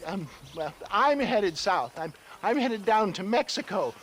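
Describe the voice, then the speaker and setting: High-pitched shifty old snake-oil salesman. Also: sing-song, unhurried, fairly bright tone, slight background noise, full character voice. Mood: evasive, flustered. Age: older. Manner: pompous, wheedling, hemming and hawing. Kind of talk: dramatic video game cutscene acting.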